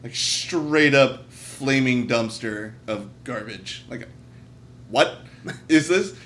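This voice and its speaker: A man's voice: mid-range voice, slow pace, fairly bright tone, slight background noise. A man chatting casually as a podcast co-host, giving blunt, jokey, profane opinions in an emphatic way.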